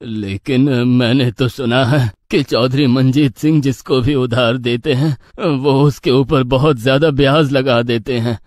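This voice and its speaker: A man's voice: mid-range voice, steady tone, a little slow, hardly any pauses, a little mellow, no room sound, studio quality, natural voice. A man playing a poor, humble old village farmer in a cartoon story, pleading and lamenting in despair. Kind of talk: Hindi cartoon moral story dialogue.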